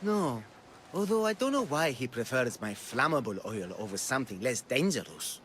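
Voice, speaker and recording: A man's voice: mid-range voice, big pitch swings, moderate pace, a bit bright, a little hiss or hum. An actor playing an anxious, sentimental male oil merchant in a video game, with a pleading, effusive delivery. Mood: hesitant, explaining. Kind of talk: dramatic video game dialogue.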